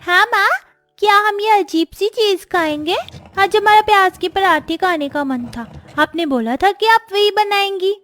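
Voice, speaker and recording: A woman's voice: very high-pitched, measured pace, a bit bright, no room sound, soft background music. A woman voicing a whiny, demanding young schoolchild who pleads with parents in a moral-story cartoon. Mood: complaining.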